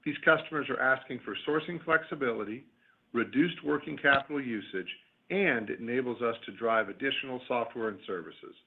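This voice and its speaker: A man, a company executive, reading a scripted earnings call presentation in a formal, measured corporate way. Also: mid-range voice. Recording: tinny narrowband phone conference line.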